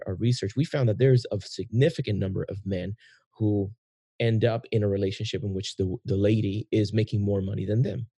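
A man's voice: lowish voice, creaky, dark tone, husky, no echo. A man hosting a podcast, chatting casually with a guest, often hesitating with fillers and restarts. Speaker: podcast host.

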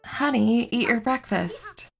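A woman's voice: fairly high pitch, lively intonation, slow pace, gravelly, over loud music, exaggerated voice. A woman playing a sensible, concerned wife and secret superheroine in a comedy audio drama. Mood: calm, concerned.

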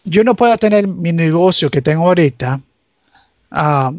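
A man from Nicaragua whose first language is English, speaking Spanish haltingly as a guest and reaching for English words. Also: on the high side, lively intonation, darkish tone, no room sound, highs cut off. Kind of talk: casual chat.

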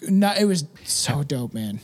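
A man with a high voice chats as a podcast host. He is blunt and emphatic, swears freely and keeps checking in with "right" and "man". The delivery is casual and full of opinion. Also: sing-song, unhurried.

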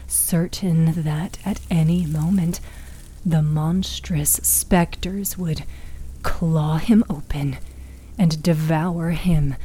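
A woman narrating a dark story in a hushed, breathy, suspenseful, dramatic manner. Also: sits low. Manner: slow, hushed, suspenseful delivery. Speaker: narrator and author of an audio fiction podcast.

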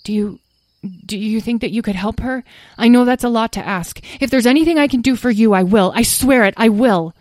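A woman's voice: on the high side, a little fast, a little mellow, no room sound, clean studio sound, pitched above the performer's own voice, close to an everyday voice. A woman voicing an earnest, kind-hearted young stable boy in a storybook, stammering and tearful. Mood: pleading. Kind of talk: storybook character voice.

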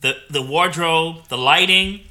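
A man's voice: on the high side, a little slow, bright, forceful tone. A man hosting a casual podcast, joking around animatedly and laughing often. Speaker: podcast host.

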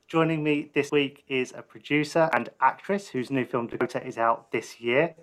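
Guy hosting a podcast, chatty interview style, medium pitch. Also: normal speed, a bit bright. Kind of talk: casual film podcast interview.

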